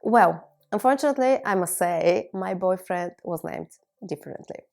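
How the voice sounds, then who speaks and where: A woman in her thirties from Bulgaria, a native Bulgarian speaker, giving a reflective personal monologue to viewers in measured, deliberate phrases. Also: lowish voice, lively intonation, gravelly, a little mellow. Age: 30s. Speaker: video blogger.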